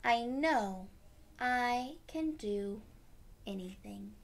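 A young woman reading a picture book aloud to children, gently explaining it in simple words. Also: fairly high pitch, animated, very slow, vocal fry, a little hiss or hum. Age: young adult. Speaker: read-aloud storyteller for children. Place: small room at home, indoors.

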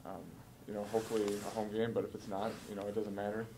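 A man in his early twenties, a college football player giving measured, matter-of-fact answers at a post-game press conference. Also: fairly low pitch, little pitch movement, background noise. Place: indoor press room, seated at a table.

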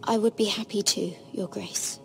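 An actress playing a guarded young handmaiden in a fantasy game, speaking politely, carefully and with deference. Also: female voice, medium pitch, fairly even intonation, normal speed, creaky, a little mellow, soft background music, lightly acted. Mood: deferential, guarded. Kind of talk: dramatic fantasy game acting.

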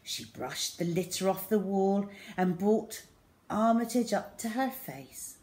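Middle-aged woman, story reading, slow pace, animated intonation, medium pitch. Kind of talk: expressive children's story reading. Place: small room indoors at home.

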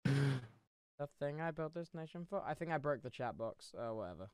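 A man's voice: mid-range voice, expressive, normal speed, darkish tone, clear, resonant tone, no echo. A young English man livestreaming from his bedroom, chatting casually and teasing in a playful, mumbly way. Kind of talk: casual chat. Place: bedroom streaming setup. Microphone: studio mic on arm, headphones.

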